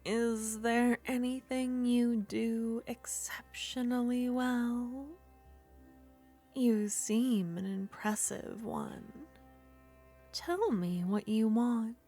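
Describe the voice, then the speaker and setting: A woman performing an ASMR roleplay as a jealous, possessive witch, speaking softly and often whispering. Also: a little high, steady tone, drawn-out words, soft background music. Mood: teasing. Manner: soft-spoken, teasing, often whispering. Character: jealous possessive young witch, teasing and haughty.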